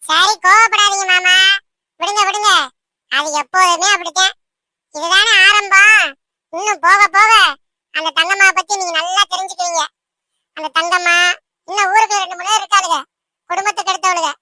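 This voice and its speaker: Woman playing young cartoon fiancée, extremely high, razor-bright, caring, gossipy, chatty, emphatic. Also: fairly even intonation, normal speed, pauses often, no room sound, clean studio sound, full character voice. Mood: soothing. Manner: chatty, emphatic, repeatedly seeking agreement. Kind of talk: amateur cartoon dialogue in colloquial speech.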